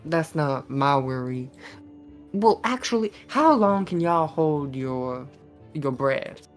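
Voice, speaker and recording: A woman voicing a Southern adventurer in a tabletop game, talking haltingly and folksily with a Southern US accent. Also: low-pitched, animated, soft background music. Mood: worried, explaining. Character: Southern-drawling adventurer woman addressing companions as darlings.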